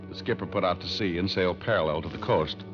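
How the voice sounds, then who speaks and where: A man's voice: deep voice, fast, lightly acted. An American man playing a tough, wry private detective in old radio crime drama, with clipped, dry delivery. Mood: dry, steady. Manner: clipped, hard-boiled, dry. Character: tough, wry male private detective narrating his case. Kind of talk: 1940s-50s radio crime drama acting and narration. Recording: dull old radio recording with music.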